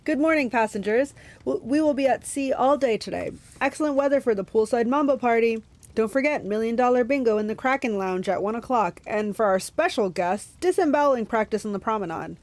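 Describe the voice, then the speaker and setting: A woman's voice: a little high, a little fast, darkish tone, no room sound, natural voice. A woman voicing an overly happy male cruise ship announcer in a story, chirpy and upbeat.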